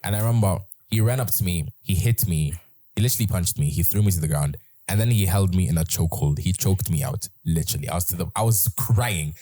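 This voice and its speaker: Deep-voiced young British man telling stories. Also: fast talker, dry recording, clean studio sound. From Britain. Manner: rambling, repeats and restarts, lively storytelling. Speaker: host. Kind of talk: casual storytelling chat.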